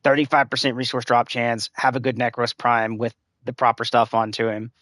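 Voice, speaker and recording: A slightly hoarse man chatting through a live gameplay stream at a middling pitch, explaining builds in a loose, rambling way. His voice is dry and close, with no room sound behind it. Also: little pitch movement, brisk, a bit bright, no room sound. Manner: rambling, filler-heavy advice-giving. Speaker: game streamer.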